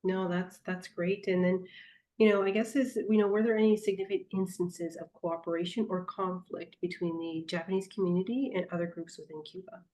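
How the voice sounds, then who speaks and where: Woman host, interviewer, mellow, lowish voice, asks measured questions. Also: level tone, poor audio. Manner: brief backchannel, measured questions. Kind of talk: conversational interview.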